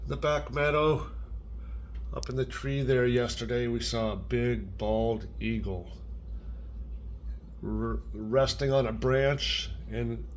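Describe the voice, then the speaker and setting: An older man talking gently and simply, as a grandparent reading a picture book aloud to a young child. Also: medium pitch, takes it slowly, slight background noise. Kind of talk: casual read-aloud storytelling for a child.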